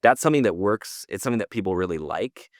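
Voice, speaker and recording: A man's voice: lowish voice, expressive, quick pace, dry recording, studio quality. A man co-hosting a podcast, talking through news casually in an enthusiastic, explanatory way. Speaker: podcast co-host presenting news.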